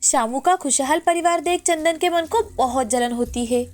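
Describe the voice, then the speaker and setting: A woman's voice: sits high, normal speed. A woman narrator reading a scripted moral tale in storytelling style.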